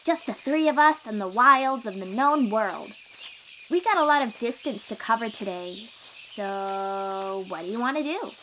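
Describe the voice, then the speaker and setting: A woman playing a cheerful, boastful young sea-dwelling warrior in an audio roleplay, eager and expressive as she talks to the listener. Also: fairly high pitch, measured pace, slight background noise. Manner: eager, bragging, reassuring, expressive storyteller.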